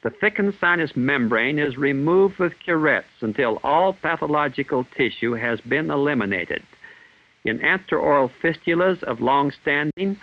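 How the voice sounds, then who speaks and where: Dull vintage film recording, formal male narrator. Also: mid-range voice. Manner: formal, measured, clinical. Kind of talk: scripted instructional narration, 1960s training film. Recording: dull 1960s film soundtrack.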